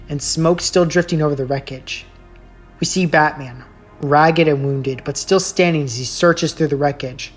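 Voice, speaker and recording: A woman reading a scripted story as a storyteller, in dramatic narration. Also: sits low. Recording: music laid under the voice. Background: music.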